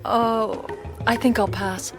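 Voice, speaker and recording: An actress playing a curious, wary woman adventurer in a radio drama, musing in an understated, measured way. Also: fairly high pitch, fairly even intonation. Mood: wary, intrigued. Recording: loud music behind the voice.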